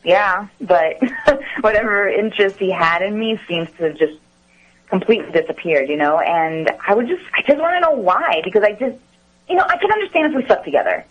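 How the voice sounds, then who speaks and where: A woman's voice: medium pitch, animated, fairly quick. A young woman calling in to a radio show, telling a personal story casually and hesitantly. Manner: hesitant, many "like" and "you know". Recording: dull phone line into radio.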